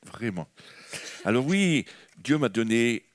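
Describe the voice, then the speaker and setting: A man's voice: mid-range voice, very animated, takes it slowly. A man from France with a French accent, preaching an enthusiastic, rambling charismatic sermon in church with many restarts. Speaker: guest preacher.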